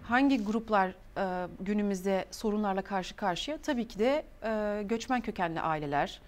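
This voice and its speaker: A woman's voice: medium pitch, a little hiss or hum. Thoughtful young Belgian-born woman guest. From Belgium (Limburg). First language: Turkish heritage, grew up speaking several languages. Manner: thoughtful, measured, switches between languages. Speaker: interview guest. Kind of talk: interview answers.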